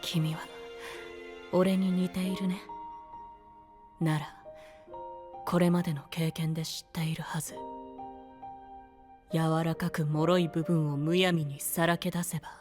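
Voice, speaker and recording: A man playing a frail, breathy young knight. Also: a little high, flat delivery, measured pace, long pauses, lightly acted. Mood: wistful. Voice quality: soft, breathy, often whispered. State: weak and tired. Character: frail young male twin knight, gentle and guarded. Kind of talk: anime voice drama acting. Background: background music.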